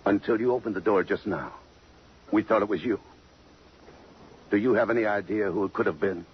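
An actor playing a tough, brooding police detective in 1950s American radio drama, curt and hard-boiled. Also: male voice, lowish voice, brisk, lightly acted. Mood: startled, urgent. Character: tough, brooding police detective lieutenant smitten by a murder victim. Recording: muffled, noisy 1950s radio recording.

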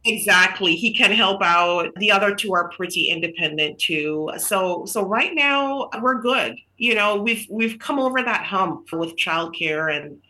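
A woman's voice: mid-range voice, lively intonation, measured pace, few pauses, low-quality recording. A middle-aged woman from Jamaica, a guest author in a casual podcast interview, telling stories in a chatty way with many fillers and restarts. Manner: chatty, warm storytelling with frequent fillers and restarts.

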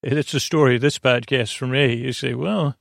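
An American man hosting a sleep podcast, delivering a halting, meandering bedtime monologue that drifts off on tangents. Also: medium pitch, fairly even intonation, gravelly, no room sound, studio quality. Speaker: podcast host. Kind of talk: rambling bedtime monologue to help listeners fall asleep.

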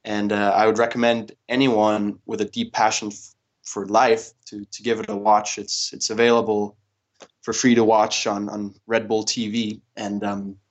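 A young man from Denmark answering interview questions in a relaxed way, with many fillers and restarts. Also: on the low side, normal speed, dull audio. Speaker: interview guest, paddle athlete.

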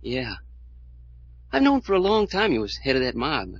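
Husky, wounded radio kid brother. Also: male voice, medium pitch, wildly swooping pitch, normal speed. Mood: resolute. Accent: American. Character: wounded, resentful, earnest younger brother, small-time tough. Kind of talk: 1940s radio acting. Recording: dull vintage radio recording.

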